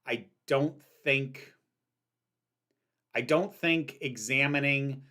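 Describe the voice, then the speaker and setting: A woman's voice: a bass voice, takes it slowly, sharp tone. A woman hosting a casual livestream, reading out chat questions and answering candidly, with frequent ums and restarts. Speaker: livestream host.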